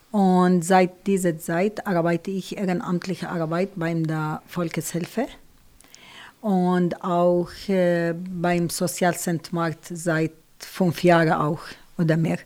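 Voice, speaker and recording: A woman with an Arabic accent speaking German as a second language in a radio studio interview, halting, with grammar slips and frequent fillers. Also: lowish voice, level tone, darkish tone. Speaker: studio guest, volunteer refugee helper.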